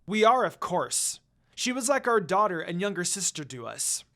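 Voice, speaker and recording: A storyteller voicing a bold, protective teenage girl who speaks defiantly and forcefully in a story reading. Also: medium pitch, very animated, normal speed. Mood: firm. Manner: forceful, warning.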